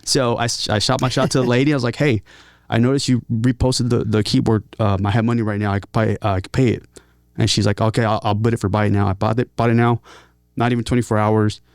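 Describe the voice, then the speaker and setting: A man shares personal stories in a casual interview. He speaks earnestly and haltingly, often repeating words as he goes. The sound is close and dry. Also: fairly low pitch, little pitch movement, a little mellow, clear, resonant tone, dry recording. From San Diego, California. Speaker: interview guest, talkbox musician and rapper.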